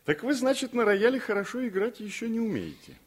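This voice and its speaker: Animated, husky young student tutor. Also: male voice, very high-pitched, a little fast, full character voice. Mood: playful, warm. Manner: courteous, animated. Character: friendly student tutor, playful with children. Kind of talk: 1950s Soviet radio play acting.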